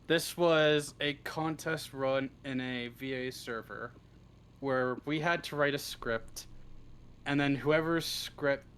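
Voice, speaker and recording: A man's voice: mid-range voice, slow pace, fairly bright tone, clean tone. A man chatting casually as an interview guest, in a joking, self-deprecating way. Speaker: interview guest, script writer.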